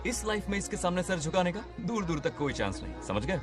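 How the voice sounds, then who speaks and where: A man voicing a cocky, mocking young film hero in dubbed dialogue, with a teasing, swaggering manner over loud music. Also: high-pitched, big pitch swings, fast talker. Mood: teasing, defiant.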